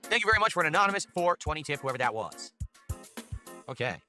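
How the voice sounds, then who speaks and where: A man's voice: very animated, quick pace, sharp tone, faint music behind. A man hosting his own stream, chatting casually and hyping up viewers for support. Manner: upbeat hype pitching for tips. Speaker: streamer host.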